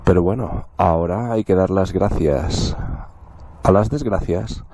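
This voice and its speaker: A man's voice: low-pitched, a little slow, noisy background. A man talking casually to the camera outdoors, wearing a motorcycle helmet, telling his story with wry asides to viewers. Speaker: motovlogger. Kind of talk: casual vlog talk to camera.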